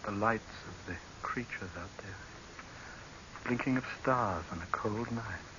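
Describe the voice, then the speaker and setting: An actor playing a resigned, bitter doctor turned would-be assassin, in a terse, dramatic 1930s radio acting style. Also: male voice, fairly low pitch, unhurried, lightly acted. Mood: weary, resigned. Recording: muffled, hissy 1930s radio recording.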